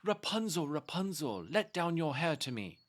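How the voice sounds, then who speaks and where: A man voicing a stern, commanding female enchantress in a storybook, imperious and deliberate in manner. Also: a little high, very animated, pitched above the performer's own voice. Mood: calling out.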